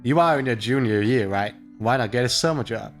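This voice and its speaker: Guy doing a lets play, reads the game out loud, sweary mocking asides, guitar music under him. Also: medium pitch, a little fast. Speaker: let's play host. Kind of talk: joking commentary and read-aloud of a visual novel. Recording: music behind the voice.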